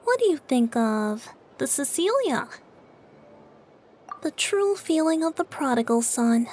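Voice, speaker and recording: A woman's voice: high-pitched, very animated, measured pace, darkish tone. A woman voicing a boyish young male bard for a video game fan dub, playful and theatrical with a teasing lilt. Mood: gentle, playful.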